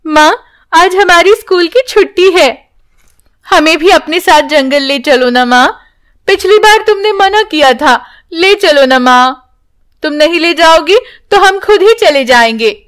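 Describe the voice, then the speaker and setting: A woman's voice: extremely high pitch, expressive, a little slow, a bit bright, full character voice. A woman voicing a young daughter in a children's story, pleading and emotional. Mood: pleading, eager. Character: young girl, pleading, insistent, tearful daughter.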